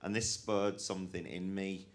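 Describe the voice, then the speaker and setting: A man's voice: low voice, fairly even intonation, moderate pace. A man from the West Midlands with a West Midlands English accent, telling a conference panel about his rivers work in an earnest, passionate way. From West Midlands, England. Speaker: panel speaker, rivers trust worker. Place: conference hall.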